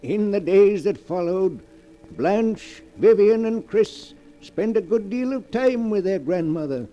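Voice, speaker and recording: A man's voice: high voice, expressive, takes it slowly, mellow, lo-fi sound. A man narrating a 1940s radio mystery drama as its host, on an old recording. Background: music.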